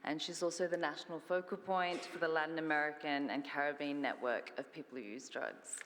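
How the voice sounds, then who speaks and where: A woman's voice: sits low, fairly even intonation, brisk, a bit bright. A woman giving a formal introduction of a speaker as chair of a conference session in a hall. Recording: noisy hall with long reverb.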